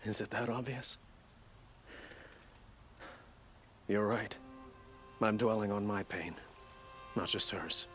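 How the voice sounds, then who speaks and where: A dignified ruler in a video game drama. He speaks formally and courteously in a fairly low, grave voice, with soft music underneath. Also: unhurried. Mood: grieving resolve. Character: dignified, grave young king, courteous and grieving.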